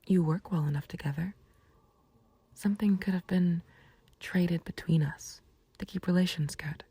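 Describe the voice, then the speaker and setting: A woman playing a calm, taunting villain in an intimate roleplay audio, speaking in a breathy near-whisper with unhurried condescension and mock sympathy. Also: fairly low pitch, dry recording. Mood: smug taunting. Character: calm, taunting female villain, menacing yet fond of a friend. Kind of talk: scripted roleplay audio acting, intimate ASMR.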